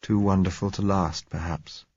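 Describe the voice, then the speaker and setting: Man playing a tender, husky young husband. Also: deep voice, moderate pace, no echo, dull audio. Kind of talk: audiobook character voice.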